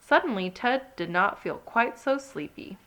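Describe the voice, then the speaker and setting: A woman narrating a children's read-along story with expressive, dramatic emphasis. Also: on the high side, a little slow.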